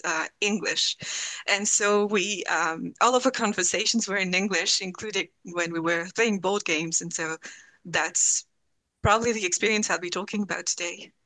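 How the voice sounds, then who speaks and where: Bright-voiced woman. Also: mid-range voice, poor audio. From France. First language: French. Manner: chatty, frequent fillers and self-corrections. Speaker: guest. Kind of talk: casual chat.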